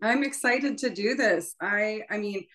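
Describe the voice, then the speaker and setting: A woman's voice: mid-range voice, unhurried, pushed and bright. An American woman speaking casually as a voice teacher presenting a workshop on a video call. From New Hampshire, United States.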